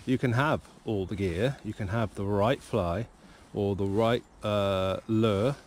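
A man from Cornwall chatting reflectively to his vlog outdoors on a river bank, musing and often pausing mid-sentence. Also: lowish voice, darkish tone. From Cornwall, England. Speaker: fishing vlogger, novice salmon angler. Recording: clear outdoor background noise.

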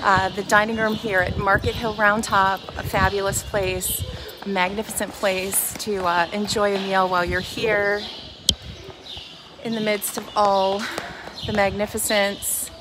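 A middle-aged woman hosting an unscripted walk-through vlog on a phone at arm's length, with a halting, meandering delivery. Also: mid-range voice, little pitch movement. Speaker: host of a shopping preview vlog. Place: outside a metal warehouse store, then large busy indoor market and cafe. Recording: noisy handheld phone recording on location.

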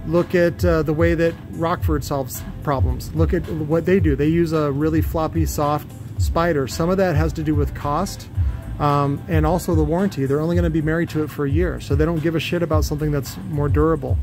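A man's voice: fairly high pitch, steady tone, brisk, over music. A man giving a casual, off-the-cuff technical explanation in a blunt, opinionated, salty-tongued way. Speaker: presenter, loudspeaker builder. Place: cluttered indoor workshop.

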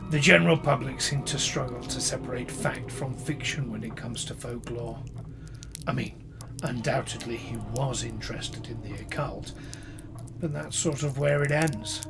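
An actor playing a skeptical, dry historian in an audio drama. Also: male voice, mid-range voice, normal speed, vocal fry, natural voice. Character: skeptical older male historian, dry and bitter. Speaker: academic interviewee. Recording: music bed under dialogue.

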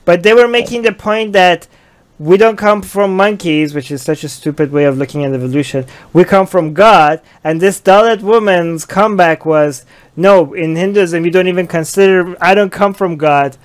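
A man's voice: high-pitched, moderate pace, smooth. A young man hosting a casual live-stream discussion from a small room, reading out viewer comments and reacting, speaking into a headset. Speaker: livestream host.

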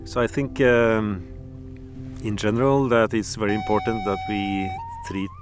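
A Norwegian man, a musician, speaking English as a second language in a calm, reflective documentary interview. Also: fairly low pitch, measured pace. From Norway. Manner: calm, reflective, slightly non-native phrasing. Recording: music playing behind.

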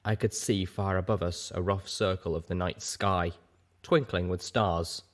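A man's voice: deep voice, steady tone, a little slow. A man reading scripted first-person fiction as an audiobook narrator.

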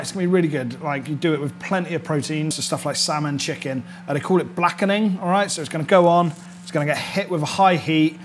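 A British man in his thirties presents an informal cooking demonstration as a chef. His voice sits a little higher than average, and he explains each step in a chatty, instructional way, often checking that the listener follows. Some background noise from the kitchen set can be heard. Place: indoor kitchen studio set.

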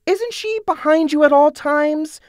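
A man's voice: very high-pitched, measured pace, no echo. A man playing a straight-laced young villain's assistant in a comedy cartoon, dry, sarcastic and exasperated. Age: young adult. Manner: dry, sarcastic, matter-of-fact.

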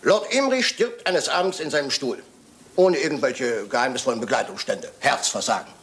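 An actor playing a shrewd, self-assured, pedantic detective in a German radio crime drama, speaking in a deliberate, theatrical way. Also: male voice, mid-range voice, animated, a bit bright, slightly rough. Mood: reasoning. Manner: theatrical, deliberate, addressing and coaxing others.

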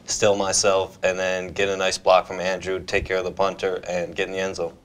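A young man, a college defensive back, speaks in a small TV studio. His voice is low and flat. He recounts the play step by step in a plain, matter-of-fact way. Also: a little fast. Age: young adult. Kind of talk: studio interview answer.

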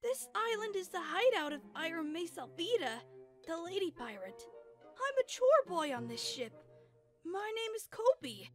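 A woman's voice: extremely high pitch, sing-song, moderate pace. A woman voicing a timid, servile young chore boy in a manga dub, nervous, stammering and apologetic. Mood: timid, anxious. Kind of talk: anime-style manga dub acting. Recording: music behind the voice.

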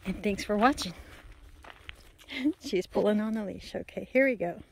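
A woman's voice: medium pitch, a little slow, vocal fry, slight background noise. A woman vlogger chatting casually and unscripted to viewers while out walking, a little out of breath, recorded on a handheld phone outdoors. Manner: rambling, unscripted, addressing viewers. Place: outdoors on a rural gravel road.

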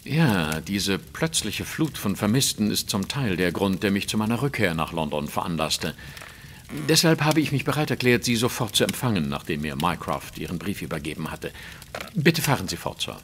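A keen gentleman detective in a radio play, his low voice moving in wide, animated swings. He is courteous yet commanding, with formal, precise, probing phrasing, over a faintly noisy track. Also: normal speed. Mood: courteous, businesslike. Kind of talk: German audio drama acting.

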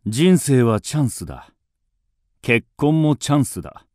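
An actor narrating a radio drama as a wry, self-assured writer, deliberate and dryly ironic. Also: male voice, mid-range voice, sing-song, a little mellow, no room sound, studio quality. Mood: opinionated, wry.

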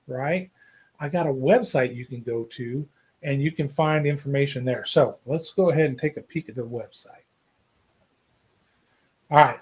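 Brief: man, 50s, easygoing vlogger, voice sits a bit high, keeps tagging "right?" onto lines, small home office. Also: mellow, slightly rough. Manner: chatty, keeps asking "right?" and "okay?". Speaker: outdoors vlogger. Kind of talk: casual to-camera vlog. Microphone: desk camera, head and shoulders.

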